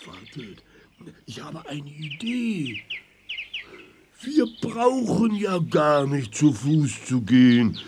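An actor playing a childlike, naive and excitable little bear in a children's audio play. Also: mid-range voice, slow pace, a little hiss or hum. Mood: scheming. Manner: exclaiming, gushing.

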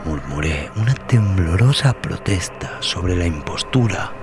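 A man narrating a horror tale in a solemn, deliberate, dramatic way with deliberate pauses. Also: low-pitched, lively intonation. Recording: voice over prominent background music. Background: music.

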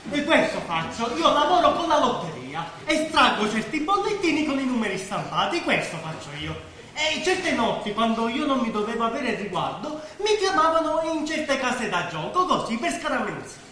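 An actor playing a comic, wheedling good-luck hustler with a southern Italian accent, colloquial and ingratiating, on stage. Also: male voice, extremely high pitch, very animated, moderate pace, pushed and bright, heavily acted. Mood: ingratiating, jokey. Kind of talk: theatrical stage dialogue. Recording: distant, echoey stage recording, faint noise.

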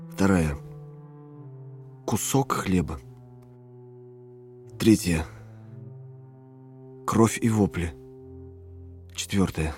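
An actor playing a weary, watchful prisoner in an audio drama, with a sombre, clipped, deliberate delivery. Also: male voice, sits low, darkish tone. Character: Winston, weary, subdued, watchful male prisoner. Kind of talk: audio drama narration and acting.

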